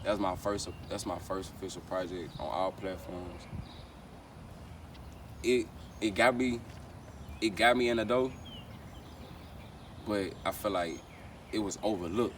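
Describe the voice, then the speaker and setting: Young man, very low pitch, casual slangy rambling, repetitive phrasing, rapper interview, clear street and traffic noise. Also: fairly even intonation, measured pace, pauses often. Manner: rambling, repeats phrases, frequent "you know what I'm saying". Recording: distant mic, clear outdoor traffic noise.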